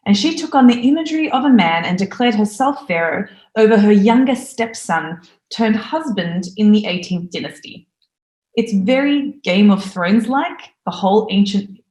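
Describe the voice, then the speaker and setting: A woman in her thirties, from Australia, giving a recorded conference talk from home in an eager, lively way. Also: mid-range voice, echoey room, rough recording. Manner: enthusiastic, lively presenter. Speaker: conference speaker.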